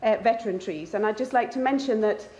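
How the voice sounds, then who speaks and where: A woman's voice: fairly high pitch, fast talker. Chatty woman presenting in a hall. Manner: chatty, self-deprecating, lively asides. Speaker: nature conservationist speaker. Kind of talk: conference talk. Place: lecture hall. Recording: roomy hall sound.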